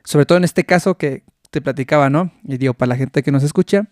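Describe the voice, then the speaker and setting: A man's voice: a little high, quick pace, a little mellow, no room sound, studio quality. Chatty Mexican man hosting a podcast. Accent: Mexican Spanish. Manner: chatty, laughs often, many restarts. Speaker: podcast host. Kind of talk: casual interview chat.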